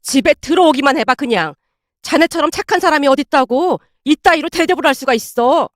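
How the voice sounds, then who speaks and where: A woman's voice: high voice, animated, brisk, dry recording, studio quality. A woman voicing an older mother-in-law in a narrated family story, blunt, indignant and fiercely protective. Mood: indignant, fussing.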